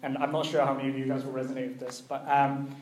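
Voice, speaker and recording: A man's voice: mid-range voice, flat delivery, quick pace, slight background noise. Earnest young man preaching in a roomy hall. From New Zealand and Malaysia. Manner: earnest, personal, gently hesitant. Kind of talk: conversational sermon.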